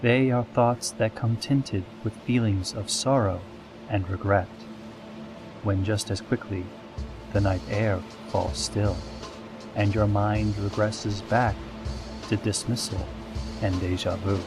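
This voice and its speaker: A man playing a solemn, eerie pony radio host, reading scripted parody news in a measured, formal deadpan. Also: fairly low pitch, over music, rough recording. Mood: brooding, hushed.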